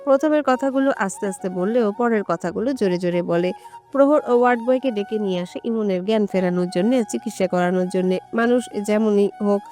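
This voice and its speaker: Female narrator for romantic audio fiction, average-pitched voice over a faint music bed. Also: brisk, mellow. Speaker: story narrator.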